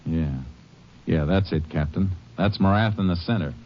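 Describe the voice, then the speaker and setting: Actor playing a deep-voiced, steady Texas Ranger. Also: male voice, normal speed, background noise, natural voice. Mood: steady, shrewd. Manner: terse, matter-of-fact. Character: steady, shrewd Texas Ranger investigator, adult man. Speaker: lead actor and narrator. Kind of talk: 1950s radio drama acting. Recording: muffled vintage radio recording.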